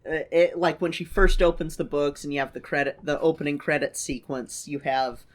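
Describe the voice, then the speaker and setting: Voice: mid-range voice, a little fast. A podcast co-host chatting casually and agreeing with gushing enthusiasm. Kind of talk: casual chat.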